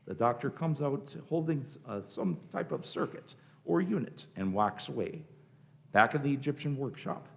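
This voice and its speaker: A man's voice: medium pitch, expressive, normal speed, vocal fry. A man reading scripted narration for a live radio play.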